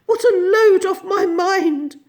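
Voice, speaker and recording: A woman's voice: very high-pitched, slow pace, darkish tone, voice pushed up. A woman voicing a haughty, fussy, melodramatic lady in a storybook reading, with indignant, theatrical delivery. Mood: gushing relief. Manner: indignant, imperious, wailing theatrics.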